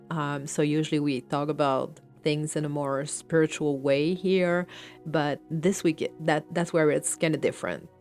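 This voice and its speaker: A woman host opens her podcast with a very low voice. She talks in a relaxed, chatty way, full of fillers. Soft music plays faintly beneath her. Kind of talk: podcast intro.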